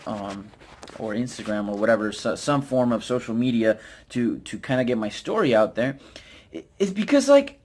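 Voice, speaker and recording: A man from Utah with an American accent talks casually and candidly as a vlogger, in a hesitant, self-deprecating, rambling way with informal slang. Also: medium pitch. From Utah, United States. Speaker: vlogger, network marketer. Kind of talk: casual, candid talk to camera.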